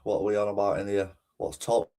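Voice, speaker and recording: A man's voice: lowish voice, steady tone, fast talker, mellow. A man giving casual, rambling livestream commentary in a chatty, informal way. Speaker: streamer and host.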